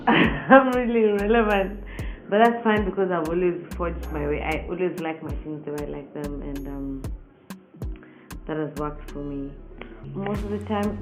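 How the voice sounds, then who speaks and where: Woman, Kenyan accent, on the lower side, casual interviewee recounting personal stories with animated emphasis, faint music underneath. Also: poor audio. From Nairobi, Kenya. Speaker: singer being interviewed.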